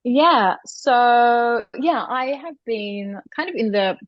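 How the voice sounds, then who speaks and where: A woman's voice: a little high, lively intonation, takes it slowly, no room sound, dull audio. An Australian woman in her forties, a coach being interviewed, who answers in a casual, hesitant way with many fillers. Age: 40s. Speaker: podcast guest, coach.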